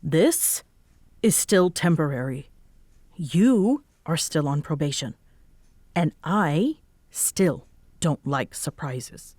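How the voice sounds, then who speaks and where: Woman acting as bossy young female roommate, low voice, slow pace, curt and guarded, dry close sound, roleplay audio drama. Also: expressive, a little mellow, dry recording, exaggerated voice. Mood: stern, guarded. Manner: curt, halting, defensive.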